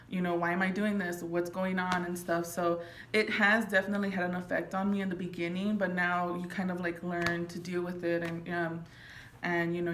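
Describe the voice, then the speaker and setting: Young female student from Florida, lowish flat voice, roomy echo. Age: young adult. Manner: reflective, frequent ums. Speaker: student interviewee. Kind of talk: casual interview answers. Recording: echoey room with faint noise.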